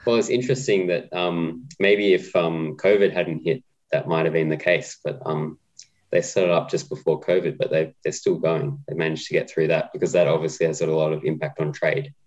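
Aussie guy with a super low flat voice, lots of ums, sounds a bit muffled and lo-fi. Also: brisk. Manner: relaxed, hesitant with many ums. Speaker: guest, co-op policy adviser. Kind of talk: casual interview chat.

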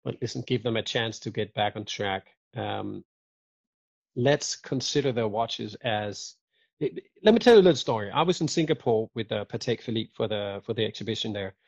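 A man with a gravelly, somewhat husky voice chats loosely on a podcast. He jokes and tells stories with lively energy. The recording is dry, dull and lo-fi. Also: fairly low pitch, moderate pace, no room sound. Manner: joking, lively, chatty storyteller. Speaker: podcast guest. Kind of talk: casual chat. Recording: dull lo-fi audio.

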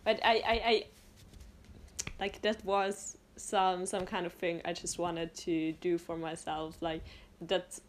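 A woman's voice: fairly low pitch, level tone, slow. A young woman from Austria interviewing a guest on a podcast, hesitant with many fillers and restarts. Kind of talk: casual conversation.

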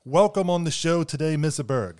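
A man hosting a podcast, introducing his guest and asking questions in a slightly halting way. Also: fairly high pitch, expressive, a little mellow. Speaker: podcast host.

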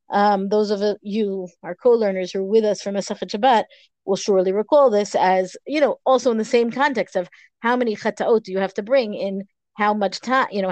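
A woman's voice: mid-range voice, a little mellow, dry recording. A woman co-teaching a study session, reflecting aloud with hesitant restarts. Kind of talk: study discussion.

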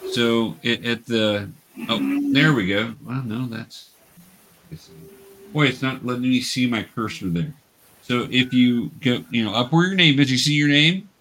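A man's voice: mid-range voice, measured pace, a bit bright, low-quality recording. A man guiding players through an online tabletop role-playing game, explaining patiently step by step with some hesitation. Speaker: dungeon master, teacher.

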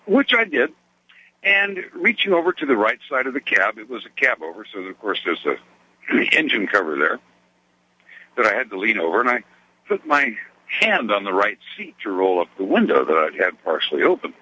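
Lively, husky-voiced man calling a radio show. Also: fairly low pitch, normal speed, dull audio. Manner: genteel, lively storyteller with laughs. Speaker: caller, truck driver. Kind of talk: telling a story on a phone call-in.

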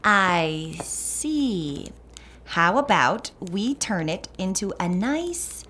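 Sugary, swooping Puritan gossip. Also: female voice, medium pitch, sing-song, extremely slow, bright, forceful tone, slight background noise, exaggerated voice. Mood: gossipy, sugary. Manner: sugary, sanctimonious, theatrical. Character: pious gossipy Puritan woman leading a sewing circle. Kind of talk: comedic audio drama acting.

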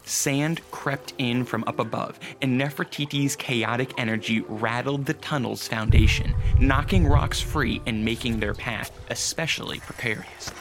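A grave, commanding male vampire and storyteller, acted by a man in a radio drama. His medium-pitched voice carries suspense over clear background music. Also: a little slow, few pauses, natural voice. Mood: grave, suspenseful. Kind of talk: radio drama acting, dramatic scripted narration.

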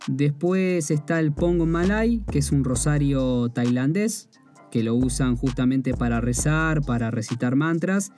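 A young man from Argentina with an Argentine Spanish accent, calmly explaining a martial art and restating points with asides. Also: on the high side. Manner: measured, explains terms with "o sea" asides. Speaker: martial arts practitioner presenting. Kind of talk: explanatory presentation. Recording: faint guitar music behind.

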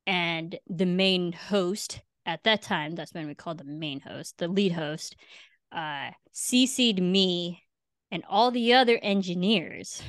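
A woman's voice: on the low side, takes it slowly, no echo, studio quality. A young adult woman hosting a podcast, telling stories in a chatty, self-mocking way to a friend. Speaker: podcast host. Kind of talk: casual chat with a friend.

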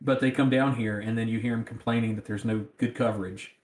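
A man's voice: on the low side, fairly even intonation, a little fast, darkish tone. A man with a rural Southern American accent, chatting casually. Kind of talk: casual chat. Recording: roomy, echoing sound.

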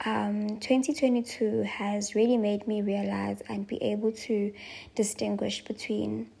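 A woman in her twenties with a South African accent, hosting a solo podcast and reflecting softly and thoughtfully, with frequent pauses and ums. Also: mid-range voice, creaky, husky. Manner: soft-spoken, slow and thoughtful with frequent ums. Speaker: podcast host.

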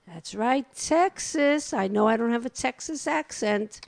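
Woman, brooklyn accent, radio co-host, gravelly, slow, dry close sound. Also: fairly high pitch, expressive, dry recording. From Brooklyn, New York. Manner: slow, chatty, reads her own poems aloud. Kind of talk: casual radio chat, reading short poems.

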